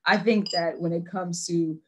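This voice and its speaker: A woman in her thirties hosting a virtual wine tasting over a video call, chatty and quick to laugh. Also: fairly low pitch, fairly even intonation, a little hiss or hum. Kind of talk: casual video call chat. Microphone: laptop video call.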